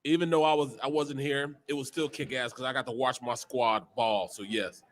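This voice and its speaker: A man bantering casually as a panelist, excitable and repetitive, playfully complaining. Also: mid-range voice, moderate pace. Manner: excitable, repeats himself, mock-complaining. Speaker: panelist on a radio show.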